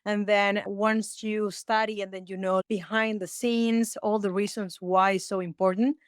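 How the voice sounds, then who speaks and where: Smooth-voiced woman with a Peruvian accent. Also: medium pitch, level tone, a little slow, dry recording, clean studio sound. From Peru. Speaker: interview guest, Montessori educator. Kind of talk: explanatory interview talk.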